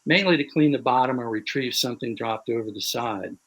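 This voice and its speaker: A man reading a passage of his own fiction aloud in a measured, wry voice over a video call. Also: mid-range voice, a bit bright, muffled recording. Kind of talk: prose reading.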